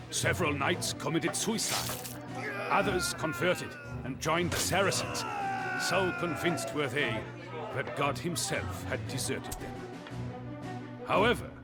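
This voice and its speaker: Over loud music, an old male chronicler telling a medieval saga for a strategy game. His voice is gravelly and sits fairly high for a man. He phrases everything theatrically and with measured emphasis. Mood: somber, then solemn, proud. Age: older. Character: old man, dramatic storyteller of a medieval emperor's rise and fall. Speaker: narrator. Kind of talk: scripted dramatic storytelling narration for a game cutscene.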